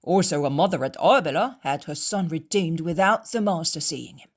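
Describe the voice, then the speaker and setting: A woman's voice: low voice, expressive, moderate pace. A woman reading a story aloud, voicing a villager who firmly tells of a healing. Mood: emphatic. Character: man from a village telling of a healing.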